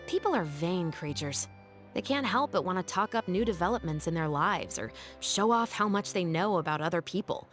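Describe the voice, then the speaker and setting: An actress playing a dutiful, wary patrol captain in a game, speaking in a dry, measured, skeptical way. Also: female voice, fairly low pitch, animated, a bit bright. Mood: explaining. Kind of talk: game cutscene voice acting. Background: game music.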